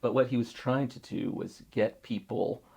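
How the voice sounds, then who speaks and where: Gravelly, husky-voiced man curator. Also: medium pitch, normal speed. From Mexico. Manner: thoughtful, lively storytelling with laughs. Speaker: museum curator. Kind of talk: casual conversation.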